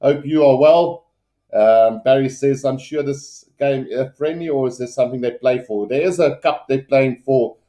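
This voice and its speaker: A South African man with an Afrikaans accent hosts a casual sports livestream. His voice is mellow and relaxed, at a middling pitch, with a loose, hesitant, conversational delivery. The room around him sounds noticeably echoey. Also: normal speed. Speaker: livestream sports commentator and host. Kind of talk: casual live rugby commentary, chatting with viewers.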